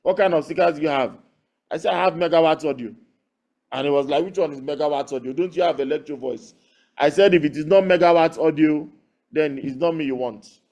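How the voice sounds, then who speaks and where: A man speaks with a Nigerian accent in a somewhat high voice. He tells his own story as an interview guest, making points emphatically and often checking in with rhetorical questions. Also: fairly quick, darkish tone. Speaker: loudspeaker builder and audio engineer, guest.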